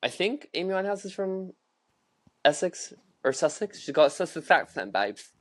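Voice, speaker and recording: A man's voice: sits high, sing-song, moderate pace. Give me a campy man in a mock Australian accent. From United States. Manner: campy, theatrical, playful banter. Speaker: podcast co-host. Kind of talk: casual chat.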